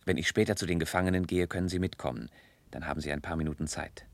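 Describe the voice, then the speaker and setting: An actor playing a formal, discreet tsarist prison official in a German radio play, speaking in a measured way. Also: male voice, sits low, creaky. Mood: official, composed.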